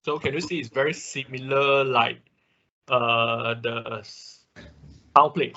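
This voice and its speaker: Bright-voiced man teaching, dull call audio. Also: mid-range voice, flat delivery, takes it slowly. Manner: frequent confirming tags like "right" and "okay". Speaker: instructor leading an online robotics class. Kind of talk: casual step-by-step technical explanation. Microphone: video call.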